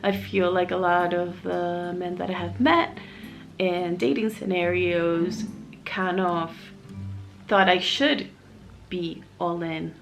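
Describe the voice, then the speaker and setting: A woman's voice: fairly low pitch, flat delivery, slow pace, creaky, faint music behind. Candid chatty woman vlogger. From Miami, USA. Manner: candid, reflective, chatty with fillers. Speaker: vlogger, single mom living in Mexico. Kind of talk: casual personal vlog.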